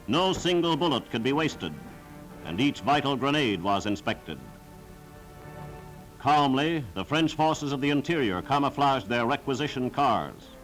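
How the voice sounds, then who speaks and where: A man's voice: mid-range voice, lively intonation, measured pace, a bit bright, faint music behind, rough recording. A man narrating a scripted 1940s wartime newsreel in a solemn, formal, declamatory style, on a vintage film soundtrack.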